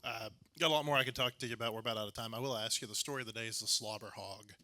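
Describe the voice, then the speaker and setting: A man's voice: medium pitch, very fast, sharp tone. A man hosting a sports podcast and putting questions to a guest in a conversational way. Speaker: podcast host and interviewer.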